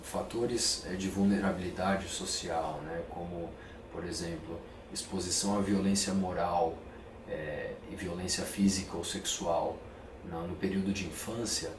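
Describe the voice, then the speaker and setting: A biomedical researcher with a very deep, flat voice explains things in a measured way, pausing with fillers. He sounds far from the mic in an echoey room with clear background noise. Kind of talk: documentary interview, expert explanation.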